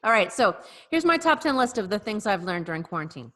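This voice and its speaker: A woman's voice: mid-range voice, sing-song, a little fast, pushed and bright, long reverb tail. A woman on a video-call podcast, reading a comic list with a wry, giggly delivery. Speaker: podcast co-host. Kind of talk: casual chat over video call.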